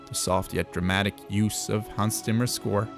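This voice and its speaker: A man's voice: lowish voice, fairly even intonation, moderate pace, vocal fry. Reflective male narrator over background music. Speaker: video essay host. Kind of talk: scripted documentary narration. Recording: music under the voice.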